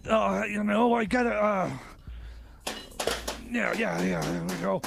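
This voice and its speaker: A man playing a scatterbrained, excitable inventor with a secret scheme, stammering haltingly in an improvised radio comedy. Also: sits high, creaky, music in the background, exaggerated voice. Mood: evasive, excited.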